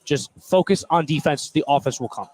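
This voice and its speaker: A man's voice: a little high, fairly quick, a little mellow, poor audio. A man doing casual color commentary on a live basketball game, analyzing plays in an informal, conversational way. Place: courtside in a school gym.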